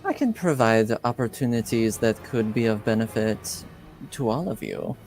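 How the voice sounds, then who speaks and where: A man narrating a tabletop roleplaying game as game master, deliberate and halting, with many pauses between phrases. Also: fairly low pitch, animated, a little mellow, faint music behind, rough recording.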